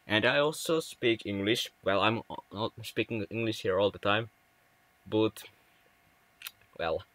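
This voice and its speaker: A man's voice: on the low side, a little slow, a bit bright, no echo. A young man from Finland with a Finnish accent, chatting casually with viewers on a game livestream, often stumbling and restarting words. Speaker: livestream gamer.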